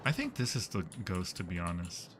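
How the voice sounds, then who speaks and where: A man's voice: low-pitched, wildly swooping pitch, fairly quick, heavy background noise. A man casually commentating a horror game as a live streamer, joking and muttering to himself in a playful way.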